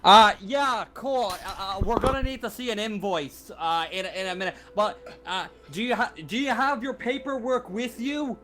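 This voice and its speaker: A man's voice: high-pitched, animated, normal speed, few pauses, fairly bright tone, soft background music, voice pushed up. A man voicing a confused outpost guard in a tabletop game, calling out hesitantly over wind.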